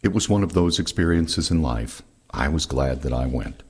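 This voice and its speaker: A man reads oral history recollections in a low, gravelly voice. It is extremely husky, with heavy creak throughout the scripted narration. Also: lively intonation, normal speed. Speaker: reader of veterans' recollections.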